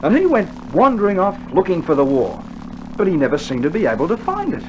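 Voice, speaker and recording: A man's voice: high voice, wildly swooping pitch, a little fast, highs cut off. An actor playing a cheeky, skeptical young Australian infantryman with dry sarcastic banter, in a scripted mid-century radio drama. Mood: teasing, sardonic. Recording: noisy old vinyl radio drama recording.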